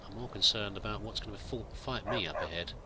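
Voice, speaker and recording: A man's voice: fairly low pitch, fairly quick, background noise. A man giving in-character let's play commentary, musing aloud and muttering to himself as he goes. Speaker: host of a roleplay let's play.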